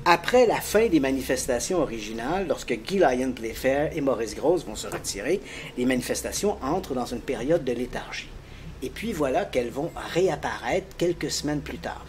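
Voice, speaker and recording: A man explaining a paranormal case in detail as a guest, lively and quick to laugh. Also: medium pitch, a little hiss or hum. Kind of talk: casual explanatory storytelling.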